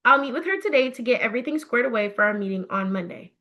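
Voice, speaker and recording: A woman plays a young storybook princess in charge of a committee. She sounds bright and fairly high, organized and composed, giving businesslike instructions. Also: animated, quick pace. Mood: brisk, composed. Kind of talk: storybook dialogue.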